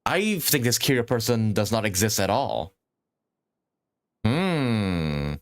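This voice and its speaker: A man's voice: lowish voice, big pitch swings, takes it slowly. A man live-streaming a game, reacting casually and thinking aloud in an informal, sweary way.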